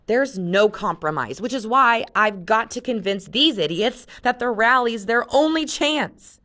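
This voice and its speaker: A woman voicing a fierce, defiant teenage girl from a read-aloud story, talking loud and insistent. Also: on the high side, lively intonation, unhurried, pitched above the performer's own voice.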